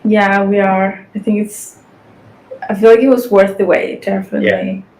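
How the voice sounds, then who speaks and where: A woman's voice: medium pitch, fairly even intonation, moderate pace, darkish tone, low-quality recording. Podcast guest: young woman, 18, from Guatemala, easygoing and chatty, lots of "like". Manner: chatty, frequent "like" fillers. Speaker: guest, fashion influencer and model. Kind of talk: casual podcast interview.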